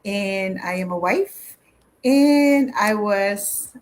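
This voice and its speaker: A woman's voice: mid-range voice, expressive, extremely slow. A woman in her thirties from the Philippines, answering interview questions in a friendly, casual way with many fillers. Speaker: guest.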